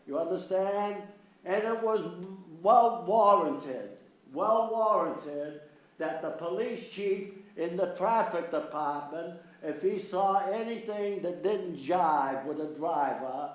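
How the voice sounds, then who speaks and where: Slow, insistent man in his nineties. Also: high voice, a little mellow, reverberant. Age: 90s. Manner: insistent, repeatedly asks if listeners understand. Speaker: resident giving public comment. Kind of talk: unscripted public comment at a meeting. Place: municipal meeting room.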